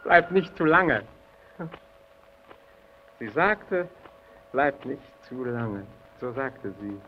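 An actor playing an earnest, tense lighthouse engineer in an old radio drama, with a deliberate, self-coaxing delivery. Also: male voice, mid-range voice, expressive. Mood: brooding, concentrating. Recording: dull, noisy vintage radio recording.

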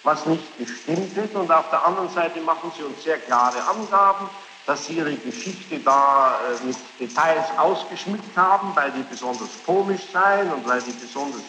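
A man questioning a witness formally and insistently, in long, halting sentences. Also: a little high, animated. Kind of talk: formal courtroom-style questioning. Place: large reverberant room. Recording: noisy echoing archival tape of hearing.

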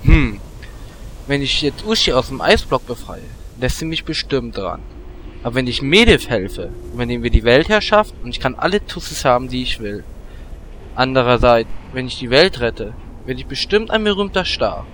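An actor playing a vain, scheming gnome warlock in a comedic fantasy audio drama, animated and self-absorbed. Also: male voice, medium pitch, normal speed, slight background noise.

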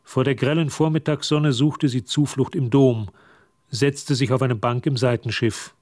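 A man's voice: mid-range voice, steady tone, measured pace, darkish tone, no echo, clean studio sound. A man reading scripted literary narration for a German radio drama. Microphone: close, dry studio microphone.

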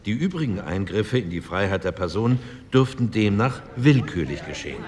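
A man's voice: lowish voice, very animated, moderate pace, darkish tone. An actor playing a male delegate who makes a pointed, sardonic argument. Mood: ironic. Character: male postwar delegate, sharp and ironic. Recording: distant reverberant hall with faint noise.

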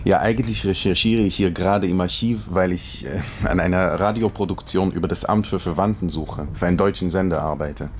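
Low-voiced, hesitant middle-aged man. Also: a little fast, hardly any pauses, slight background noise. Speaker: radio producer searching for relatives. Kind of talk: conversational documentary dialogue.

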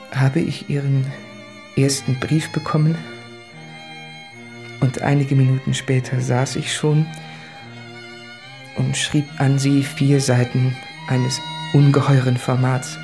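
A man's voice: mid-range voice, level tone, takes it slowly, pauses often, music in the background. An actor playing an anguished, introspective man of about thirty reading out his confessional letters in a literary radio drama. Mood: tender, earnest.

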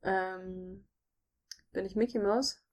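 A woman's voice: medium pitch, fairly even intonation, takes it slowly. A woman co-hosting a podcast, playing a guessing game in casual chat, teasing and laughing easily. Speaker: podcast co-host.

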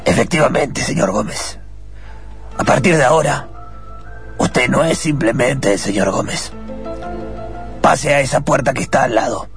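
A man voicing a sinister old laboratory boss in a radio drama, slow, formal and hushed, with a cough. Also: medium pitch, gravelly, soft background music. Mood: cold menace.

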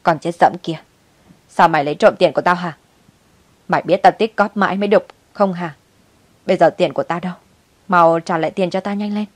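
A woman's voice: sits low, quick pace, no echo. A woman voicing a bitter, angry old grandmother in a story, scolding and wailing in outrage. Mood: furious.

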